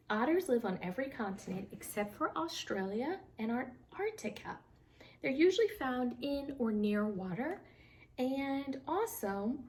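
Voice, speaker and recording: A woman reading a picture book aloud to young children, with a fairly high voice. She talks slowly and lets her pitch rise and fall widely, pausing to speak directly to her listeners. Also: slight background noise. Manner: warm, slow and expressive, addressing kids. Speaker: storytime reader.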